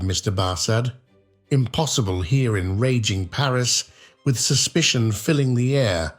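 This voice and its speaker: A man voicing a sharp, sardonic English gentleman, deliberate and cutting. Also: medium pitch, voice pushed up, lightly acted. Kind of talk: audiobook character reading.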